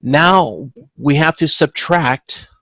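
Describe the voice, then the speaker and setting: A man giving an informal online lecture as an instructor, talking in a halting, self-correcting way and often checking in with the listener. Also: fairly high pitch, sharp tone, no echo. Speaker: instructor teaching supply chain management. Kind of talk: informal lecture walking through spreadsheet calculations. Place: online video conference with screen share.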